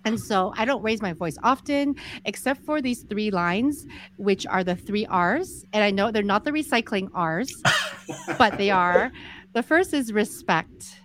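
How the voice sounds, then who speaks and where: A soft-spoken woman in her forties, a guest explaining her views in a measured, easygoing interview. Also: medium pitch, expressive, slight background noise. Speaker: podcast guest, entrepreneur. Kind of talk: casual interview conversation.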